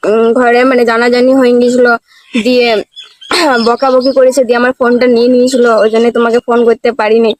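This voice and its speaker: A woman's voice: fairly high pitch, flat delivery, fast talker, darkish tone. A woman speaking casually on a prank phone call, insistent and reproachful in a plaintive way. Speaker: prank caller. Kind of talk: staged earnest phone prank, casual chat.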